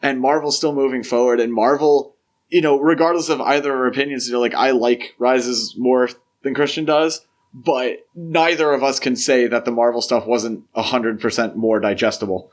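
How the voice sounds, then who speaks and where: A man's voice: medium pitch, steady tone, normal speed. Casual man chatting as podcast co-host. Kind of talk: casual film discussion.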